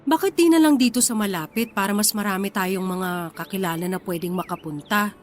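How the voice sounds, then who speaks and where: A woman's voice: mid-range voice, brisk, soft background music, close to an everyday voice. A woman playing a modest, practical young Filipina wife and mother in a radio drama, hesitant and questioning. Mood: doubtful, questioning.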